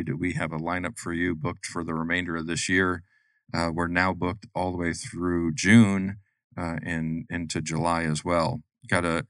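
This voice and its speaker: A man's voice: deep voice, a bit bright, no room sound. A middle-aged man hosting a business podcast, reading sponsor notes and introducing his guest in an upbeat, promotional way with frequent uhs. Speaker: podcast host.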